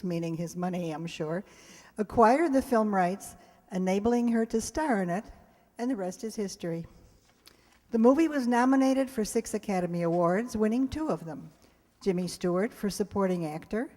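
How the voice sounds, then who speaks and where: A woman's voice: medium pitch, dark tone. A woman giving a chatty live introduction to a show, engaging her audience as host. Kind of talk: live spoken introduction with trivia and audience questions. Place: live hall in front of an audience. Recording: echoey hall with long reverb.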